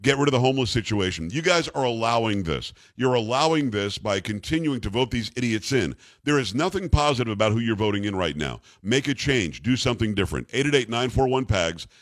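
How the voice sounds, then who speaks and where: A man's voice: medium pitch, animated, brisk, few pauses, no echo, clean studio sound. Blunt talk radio host, a man. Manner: blunt, emphatic, teasing. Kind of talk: talk radio with live ad reads. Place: radio studio.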